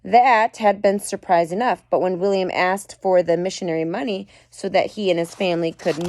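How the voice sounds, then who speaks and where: Mom reading a book aloud, chatty. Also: female voice, mid-range voice, a little mellow, no echo. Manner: chatty, stops to comment and explain to listeners. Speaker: mother reading aloud to her children.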